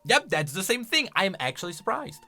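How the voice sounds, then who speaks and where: A man giving casual, conversational reaction commentary as a video host, with an animated, questioning manner. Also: high-pitched, fairly quick, soft background music. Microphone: close studio-style microphone.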